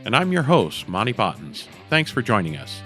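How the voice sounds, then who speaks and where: A man's voice: mid-range voice, wildly swooping pitch, moderate pace, loud music behind the voice. A man hosting an interview podcast in an informal, conversational way. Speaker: podcast host.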